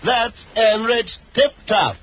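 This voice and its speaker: A man's voice: very high voice, animated, slow pace, faint music behind. An American man announcing in old-time radio style, narrating a serial and reading advertising copy. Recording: muffled, noisy vintage radio recording.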